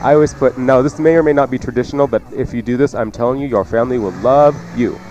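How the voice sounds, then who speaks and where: A man's voice: medium pitch, fast, darkish tone, muffled recording, faint music behind. A man hosting a cooking show with casual, joking, teasing instructions.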